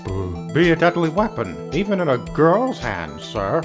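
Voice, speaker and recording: An actor playing a bumbling, nervous police detective with a heavy stammer and a deferential manner, in a video game dub. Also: male voice, fairly high pitch, huge pitch swings, measured pace, over music. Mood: nervous, eager.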